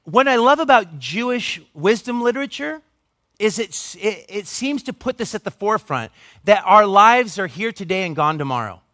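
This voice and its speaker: Male pastor, forties, fairly high register, conversational and emphatic preaching with self-mocking humor, close dry recording. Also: big pitch swings, normal speed, bright, forceful tone, no echo. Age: 40s. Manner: earnest, emphatic, with self-deprecating humor and asides. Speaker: pastor preaching to his church. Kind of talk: conversational sermon.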